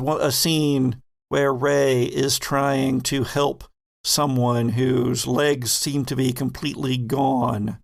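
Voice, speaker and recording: Male tabletop horror narrator, mid-pitched, plenty of fry in the voice, descriptive delivery. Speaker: host and game master.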